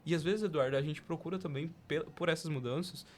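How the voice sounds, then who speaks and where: A man's voice: a little high, fast, slight background noise. A young man with a Brazilian accent hosting a podcast in casual, slangy conversation. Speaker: podcast host.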